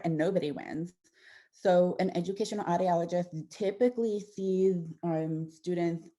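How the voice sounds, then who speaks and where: Woman audiologist, thirties, low mellow voice, easygoing slide-talk delivery with fillers and restarts. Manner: conversational, warm explanations with frequent fillers and restarts. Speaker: audiologist presenting to parents. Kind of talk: informal presentation with slides.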